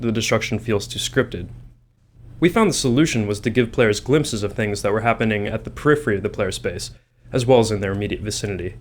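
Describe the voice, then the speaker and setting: A man reading scripted design commentary as a game developer. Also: on the low side.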